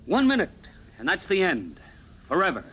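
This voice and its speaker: A man's voice: high voice, huge pitch swings, moderate pace, fairly bright tone, slightly rough, exaggerated voice. An actor playing an exasperated, urgent father in a 1950s American radio drama. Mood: irritated, firm. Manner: urgent, commanding. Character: exasperated father, gruff then panicking. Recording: old radio broadcast, music behind.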